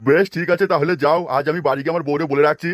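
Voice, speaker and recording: A man's voice: on the high side, steady tone, rapid-fire, slight background noise, natural voice. A man voicing an eager, pleading and foolishly obliging village man in a cartoon. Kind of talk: cartoon dialogue.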